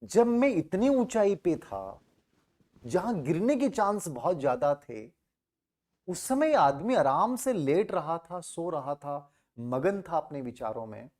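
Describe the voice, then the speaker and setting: A man's voice: on the high side, very animated, slow pace, darkish tone. A man voicing a puzzled, indignant young prince in a moral story, questioning with emphatic disbelief. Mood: incredulous.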